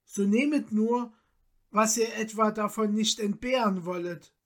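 A woman's voice: mid-range voice, a little slow, natural voice. A woman voicing a gracious, emotional noble countess from a storybook, with courtly old-fashioned diction. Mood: polite, curious. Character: gracious noble countess, deeply moved and grateful.

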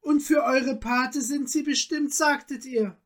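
A woman reader puts on a noble countess from a storybook, lifted high in pitch. She speaks very slowly in solemn, courtly phrases. Her temper is gracious and full of feeling. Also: fairly even intonation. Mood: startled, moved. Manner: courtly, old-fashioned, solemn diction. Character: gracious noble countess, deeply moved and grateful.

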